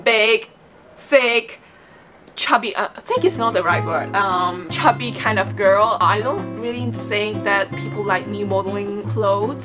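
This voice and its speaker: A young woman from Hong Kong whose first language is Cantonese, talking to the camera in a casual vlog, rambling and frank, often correcting herself. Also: medium pitch. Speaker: vlogger. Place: small bedroom. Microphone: camera-mounted mic, seated in front of camera.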